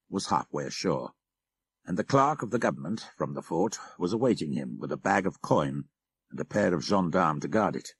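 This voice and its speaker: A male narrator reads a classic tale of horror in a very low voice with a husky grain. His delivery is measured and literary, swinging widely in pitch for dramatic effect. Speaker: audiobook narrator. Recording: 1990s audiobook cassette.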